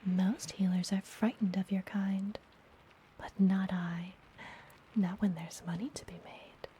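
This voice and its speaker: A woman playing a friendly, mysterious witch and healer in an ASMR fantasy roleplay, whispering softly and gently with a teasing manner. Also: medium pitch, fairly even intonation, slight background noise, natural voice. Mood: soothing, teasing. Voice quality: soft, breathy whisper. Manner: gentle, slow, playfully teasing.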